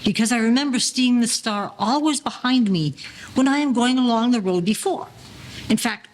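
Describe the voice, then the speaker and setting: A man's voice: very high-pitched, normal speed. A man playing a cautious, practical gangster boss in a 1940s audio play, bossy, speaking in formal slang. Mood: assured. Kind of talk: audio play character acting.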